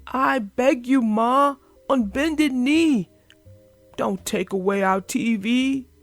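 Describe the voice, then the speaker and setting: A woman voicing young bear cubs begging not to lose their TV, in a high pleading voice. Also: slow pace, no room sound, faint music behind.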